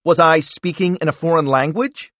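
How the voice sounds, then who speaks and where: A man's voice: mid-range voice, big pitch swings, normal speed, no echo, pitched above the performer's own voice, close to an everyday voice. A man voicing an arrogant, bullying middle-aged senator who barks and interrupts. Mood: angry. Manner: barking, condescending, interrupting.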